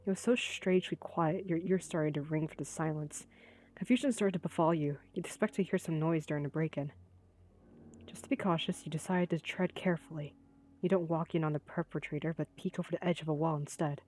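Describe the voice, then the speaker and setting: Low-voiced woman narrating a game. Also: brisk, darkish tone. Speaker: gaming video creator reading aloud. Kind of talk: voiced visual novel narration and playthrough.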